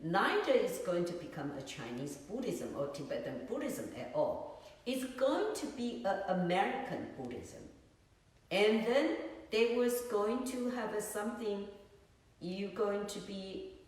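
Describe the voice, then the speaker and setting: A Buddhist teacher from Taiwan, a woman, giving a dharma talk in an unhurried way, often searching for words and adding "you know". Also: medium pitch, sing-song. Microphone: phone on a tripod. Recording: echoey room, far from mic.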